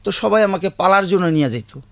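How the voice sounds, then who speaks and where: A man's voice: high voice, expressive, quick pace, vocal fry, no echo. A man in his late fifties with a Bangladeshi accent, telling his life story as an interview guest in plain, colloquial, repetitive speech.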